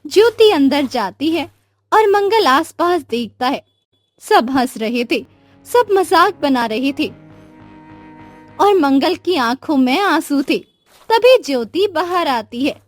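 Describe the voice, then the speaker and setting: A woman's voice: high-pitched, lively intonation, slow. A woman narrating a scripted moral story as the storyteller, with music behind her. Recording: music under the voice. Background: background music.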